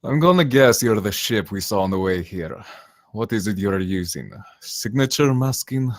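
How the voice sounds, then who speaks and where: A man acts an officious safety inspector of thirty with a low voice. He is confident and probing, read at a table in a dry, close sound. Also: animated, moderate pace, no echo, close to an everyday voice.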